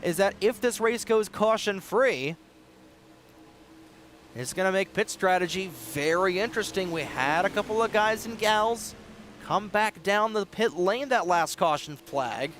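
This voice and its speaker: A high-pitched man calls a sim race with eager play-by-play, his voice a little raspy. Race car engines hum clearly behind him, and his tone jumps at the close calls. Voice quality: slightly raspy. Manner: excitable, fast-moving play-by-play with sudden rises in pitch at close calls. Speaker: race commentator. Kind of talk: sim racing play-by-play commentary. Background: race car engine noise.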